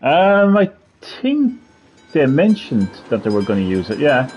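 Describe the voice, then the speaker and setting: A man hosting a casual gaming stream, rambling, laughing often and reading web text aloud haltingly. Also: mid-range voice, huge pitch swings, a little mellow, husky. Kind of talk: casual gameplay chat.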